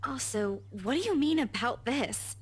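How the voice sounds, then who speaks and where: A woman's voice: sits high, expressive, a little slow, slightly rough, heavily acted. A woman playing an anxious, caring and proper young woman in a visual novel game. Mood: concerned.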